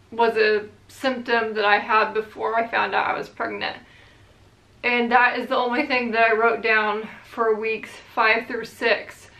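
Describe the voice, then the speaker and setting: A young woman chatting casually to her channel's viewers, relaxed and rambling with plenty of fillers. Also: on the high side, roomy sound, highs cut off. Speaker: vlogger. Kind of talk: casual chat to camera.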